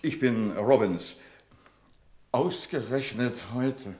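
An actor in a radio play playing a sardonic, imperious boss with a clipped, condescending manner and dry wit. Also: male voice, mid-range voice, takes it slowly, warm tone, close to an everyday voice. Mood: brisk.

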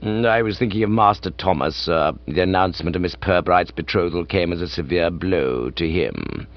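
A man voicing a deferential, unflappable English manservant in a comic audiobook, with formal, measured, understated speech. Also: low-pitched, lo-fi sound, pitched below the performer's own voice. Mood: grave, tactful.

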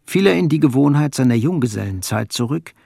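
An actor narrating a radio play as a sober, observant storyteller, with a gravelly, husky voice and measured delivery. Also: male voice, medium pitch, animated, warm tone, no room sound, studio quality. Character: sober, observant male storyteller of a melancholy novel.

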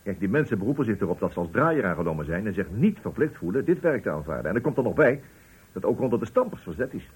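An actor playing a nervous, deferential factory foreman in a radio play, raspy and eager to agree with his superior. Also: lowish voice, big pitch swings, quick pace, full character voice. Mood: nervous, placating. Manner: deferential, hesitant, ingratiating. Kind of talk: Dutch radio play acting.

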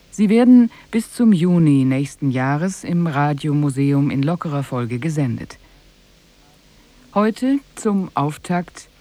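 A man's voice: fairly high pitch, dark tone, no room sound. A man presenting a scripted radio feature in a studio, formal and precise, with a standard German accent.

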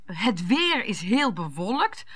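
An actress in a radio play, playing a jealous, sarcastic and indignant wife who speaks bluntly. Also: female voice, medium pitch, very animated, slow, fairly bright tone, slightly rough, full character voice. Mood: scornful, impatient.

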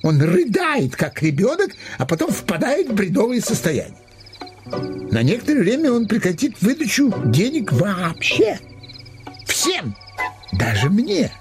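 An actor playing an anxious, fussy finance minister obsessed with money, halting and theatrical, sliding between whimper and grumble. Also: male voice, sits high, huge pitch swings, over music, exaggerated voice. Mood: worried. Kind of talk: Russian stage-comedy radio acting.

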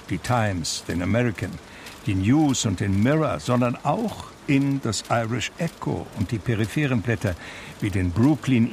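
A reflective man telling his own story in a radio drama. His delivery is deliberate and gravelly, rising and falling freely, with clear ambient noise behind him. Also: medium pitch. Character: blind, reflective man narrating his reclusive life with his brother. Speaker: narrator. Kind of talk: radio drama first-person narration.

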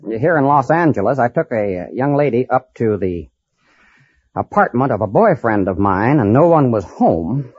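Husky man in his forties. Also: mid-range voice, very animated, normal speed, darkish tone, no echo. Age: 40s. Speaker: quiz show contestant. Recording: vintage radio broadcast, dull, audience murmur.

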